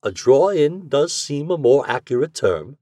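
A man voicing a cool, guarded gentleman secretary in an audiobook story, speaking in a measured, reserved way. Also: medium pitch, very animated, no room sound, studio quality, pitched above the performer's own voice. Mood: measured, skeptical.

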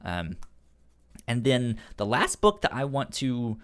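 Slow-talking man with a stutter. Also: medium pitch, very animated, a bit bright, clear, resonant tone. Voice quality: stutters, repeating sounds and words. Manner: halting, hesitant delivery. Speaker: podcast co-host. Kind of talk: casual chat recommending comics and shows.

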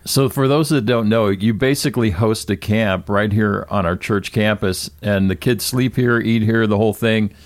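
A man's voice: lowish voice, normal speed, few pauses, dry recording. A man hosting a church podcast, asking questions in a friendly, encouraging way. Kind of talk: casual podcast interview.

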